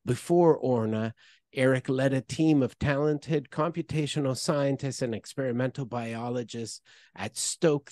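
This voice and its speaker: A man's voice: mid-range voice, mellow, no room sound. Man hosting an interview. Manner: formal, enthusiastic introductions. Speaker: interview host. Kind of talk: scripted intro then interview questions. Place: office with branded backdrop. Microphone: headset with boom mic on a video call.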